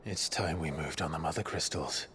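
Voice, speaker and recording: A man with an English accent playing a brooding, resolute rebel leader in a fantasy game, grave and measured. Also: extremely low pitch, a little hiss or hum. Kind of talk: dramatic fantasy game acting. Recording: loud music behind the voice.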